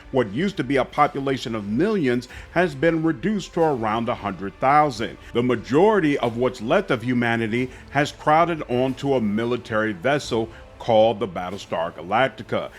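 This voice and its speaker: A man speaking as a gaming channel host, giving enthusiastic, opinionated commentary straight to his viewers. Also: medium pitch.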